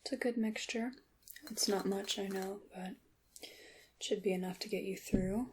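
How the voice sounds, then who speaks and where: A woman performing a soft, breathy ASMR roleplay as a calm witch apothecary, often whispering and speaking gently and deliberately to the listener. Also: medium pitch, slight background noise.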